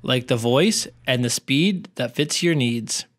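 A man presenting a scripted tutorial in a clear, instructional way. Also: mid-range voice, expressive, slow pace. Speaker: tutorial presenter, educational technologist.

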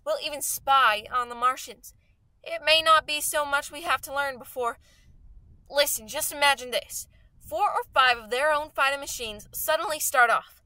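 A woman's voice: sits high, bright, forceful tone, no room sound, poor audio. A woman playing a fervent, scheming artilleryman survivor in a radio play, talking in an insistent, slangy, folksy way. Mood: feverish scheming.

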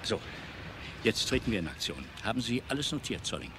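An animated, bossy professor. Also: male voice, fairly low pitch, fairly quick, noisy background. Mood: commanding. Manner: commanding, impatient. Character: brisk, bossy male professor on a mission. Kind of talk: radio play acting.

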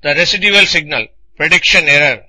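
A man with a very bright, sharp voice, pitched a little high, delivers a scripted technical lecture. He speaks deliberately and didactically in short, measured phrases. The recording is dry and close. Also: no echo, rough recording.

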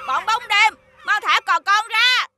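A woman's voice: very high voice, a little slow. A woman voicing an eager, plucky small child hero in a children's cartoon. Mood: determined. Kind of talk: children's animated cartoon acting. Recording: music behind the voice.

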